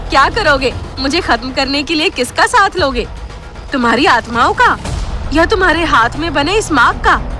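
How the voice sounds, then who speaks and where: Woman acting a high, taunting young ghost woman. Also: animated, moderate pace, over music. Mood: mocking. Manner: theatrical, taunting, code-switches into English. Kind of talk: audio horror drama acting.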